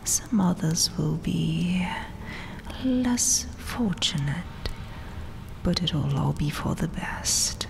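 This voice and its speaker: A woman voicing a vengeful, coldly dominant vampire queen in a breathy, mocking, regal whisper for an ASMR roleplay. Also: lowish voice, animated, noisy background, heavily acted. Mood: coolly taunting. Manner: slow, deliberate, mocking, regal.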